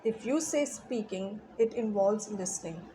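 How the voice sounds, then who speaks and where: A middle-aged woman, a guest teacher answering interview questions in an earnest, explanatory way, often pausing to find her words. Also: medium pitch, expressive, mellow, a little hiss or hum, poor audio. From Pakistan. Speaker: guest, English teacher and trainer.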